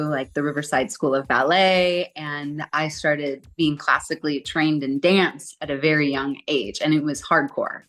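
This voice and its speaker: Chatty, lively woman telling her story. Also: low-pitched. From Southern California. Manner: chatty, animated, acts out quotes. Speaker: interview guest, singer. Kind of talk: casual storytelling interview.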